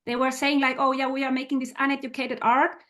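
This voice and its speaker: High-voiced woman, non-native Spanish speaker. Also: flat delivery, moderate pace, pushed and bright. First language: not Spanish. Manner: hesitant, searching for words, switches into English. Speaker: audience member asking a question. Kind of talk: halting discussion question.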